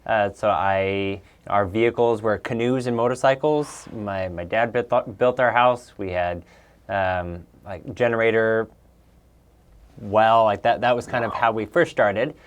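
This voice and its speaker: A man's voice: lowish voice, steady tone, unhurried. A man in his thirties, raised in Peru and Bolivia, talking casually and with enthusiasm as an interview guest, often restarting his sentences. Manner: upbeat, enthusiastic, with frequent restarts. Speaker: guest, executive coach.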